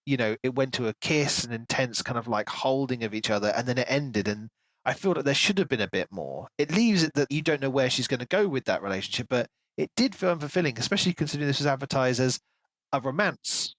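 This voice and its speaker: A man's voice: medium pitch, expressive, quick pace, a bit bright, no room sound. Man chatting casually, close dry sound. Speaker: podcast co-host. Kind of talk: casual book discussion.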